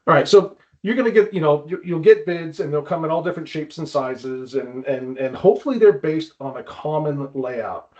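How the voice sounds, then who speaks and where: A man's voice: a little high, moderate pace, hardly any pauses, a little mellow. A man talking casually as a consultant and guest, explaining things in a conversational way. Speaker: climbing wall consultant, guest. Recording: dull, lo-fi audio.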